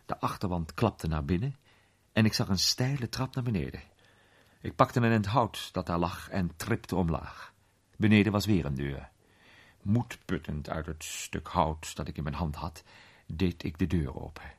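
Low, husky-voiced curious amateur sleuth. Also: male voice, moderate pace. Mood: tense, intrigued. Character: curious male amateur sleuth recounting a suspenseful night adventure. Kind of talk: radio drama narration, first-person mystery storytelling.

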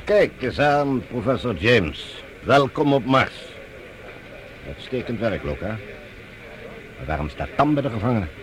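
A man playing a cold, suspicious leader of Mars in a science fiction radio drama, with formal, imperious, measured speech. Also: mid-range voice, very animated, a little hiss or hum. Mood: controlled, suspicious.

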